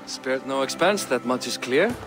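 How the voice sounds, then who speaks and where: An actor playing a grave medieval lord and loyal ally in a video game, speaking in a formal, somber way. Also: male voice, mid-range voice, lively intonation, moderate pace, over music, lightly acted. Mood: courteous.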